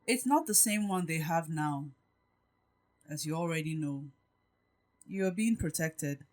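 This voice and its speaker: Poised, lowish-voiced self-assured woman actress. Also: lively intonation, measured pace, darkish tone. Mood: businesslike, informative. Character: Gabrielle, poised, self-assured woman behind emotional walls. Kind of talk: audio drama acting.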